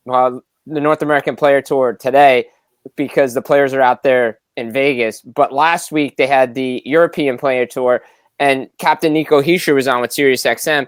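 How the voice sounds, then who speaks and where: A man's voice: mid-range voice, clear, resonant tone, dry recording. A man hosting a sports podcast, setting up interview questions in a conversational style. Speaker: podcast host.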